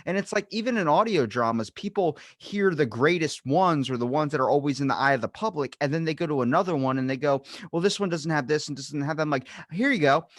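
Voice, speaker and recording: A man's voice: a little high, animated, fast, hardly any pauses, a bit bright, no echo, studio quality. A man hosting a podcast in casual chat, blunt and sweary, often acting out quoted reactions as he talks. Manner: blunt, sweary, animatedly quotes himself and others. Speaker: podcast host.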